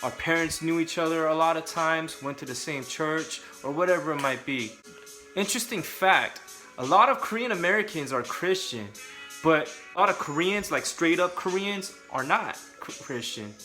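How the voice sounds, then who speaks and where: A man's voice: fairly high pitch, lively intonation, normal speed, sharp tone, soft background music. A young adult man from California, talking casually and candidly to camera with joking asides. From Southern California. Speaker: vlogger.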